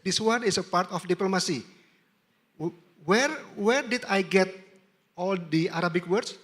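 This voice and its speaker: High-voiced Indonesian man, diplomat. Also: normal speed, a bit bright. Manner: repeats key phrases for emphasis. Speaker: diplomat speaking at a summit. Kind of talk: anecdotal talk with audience Q&A.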